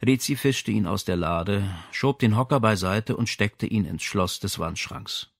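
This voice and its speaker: A man's voice: lowish voice, a little slow, vocal fry, no echo, clean studio sound. A man reading a crime novel aloud as scripted audiobook narration.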